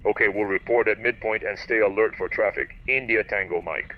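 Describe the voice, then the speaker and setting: A man's voice: on the low side, fairly quick, phone-quality sound. A helicopter pilot talking over his headset. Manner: explains to viewers, reads crisp radio phraseology. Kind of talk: casual cockpit commentary with radio calls. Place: helicopter cockpit in flight.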